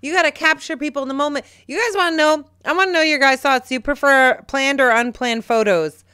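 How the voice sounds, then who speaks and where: A woman in her thirties co-hosting a casual podcast, chatty, teasing and animated. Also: sits high, brisk. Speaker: podcast co-host. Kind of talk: casual chat.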